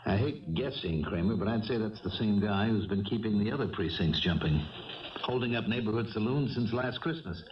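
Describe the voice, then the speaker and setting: An American man playing a calm, wry, methodical police lieutenant who also narrates a 1970s radio crime drama in a measured, authoritative way. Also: fairly low pitch, lightly acted. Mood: musing. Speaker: narrator and lead character. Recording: dull old radio broadcast, slightly distant.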